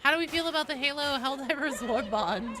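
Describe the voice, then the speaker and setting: A woman's voice: high-pitched, brisk, bright, forceful tone, a faint crowd, slight background noise. A woman chatting casually as a game streamer, informal and sweary, joking dryly with her viewers. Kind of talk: casual chat with viewers, reading and answering comments.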